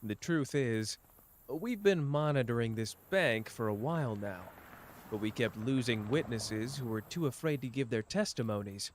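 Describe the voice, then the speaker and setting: A man's voice: medium pitch, normal speed, slight background noise, lightly acted. A man playing a teasing young detective. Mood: calm questioning. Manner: playful, teasing, jumps between soft and excited. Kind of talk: ASMR roleplay.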